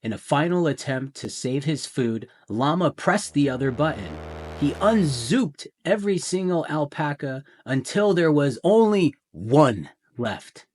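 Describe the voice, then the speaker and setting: Guy reading a kids picture book out loud, takes his time, really theatrical, voice goes up and down a lot. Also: a little high, big pitch swings. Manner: theatrical, with deliberate pauses and emphasis. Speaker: storyteller reading a children's picture book aloud. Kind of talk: dramatic children's storybook narration.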